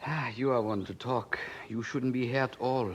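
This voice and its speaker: A man's voice: mid-range voice, fairly even intonation, normal speed, muffled recording, a little hiss or hum, lightly acted. A man playing a stern weary peasant father. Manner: gruff and blunt, weary. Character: stern hard-working peasant father, bitter. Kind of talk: radio drama acting.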